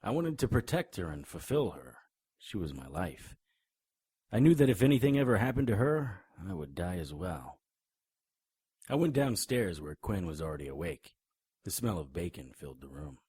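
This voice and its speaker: A very crisp, low-pitched male voice for a hard-boiled noir monster hunter in an audio drama. He is brooding and world-weary, telling his story in deliberate, clipped phrases. The sound is dry and close. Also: sing-song, warm tone, no echo, exaggerated voice. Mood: tender, solemn.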